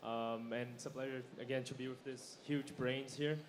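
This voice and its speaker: A man speaking informally and haltingly as a panelist at a conference. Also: medium pitch, steady tone. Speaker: panelist, software company founder. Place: stage at a conference. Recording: faint event background noise.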